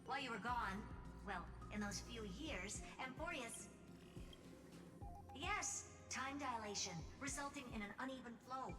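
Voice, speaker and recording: An actress playing a haughty, brisk woman genius in a video game, commanding and businesslike. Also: a little high, lightly acted. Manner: commanding, businesslike, self-assured. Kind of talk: scripted video game voice acting. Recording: echoey, distant, under game music.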